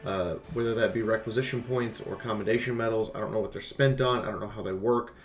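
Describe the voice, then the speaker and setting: A man's voice: lowish voice, steady tone, quick pace, roomy sound, soft background music. A man giving opinionated game review commentary, speaking bluntly and emphatically and repeating phrases for stress.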